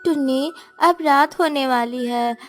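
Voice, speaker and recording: A caring mother bird from a children's cartoon, played by a woman, with a higher-than-average voice. She leads her flock with earnest, hopeful reassurance as music plays behind. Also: slow. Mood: reassuring. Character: kind, hopeful mother sparrow, caring leader.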